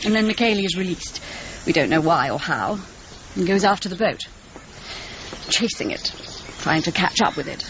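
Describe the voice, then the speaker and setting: A woman playing a stately, grave noblewoman in a radio drama, making deliberate, formal statements. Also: lowish voice, lively intonation, fairly bright tone. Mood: sombre. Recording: muffled, noisy outdoor-like ambience. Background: clear noise and ambience.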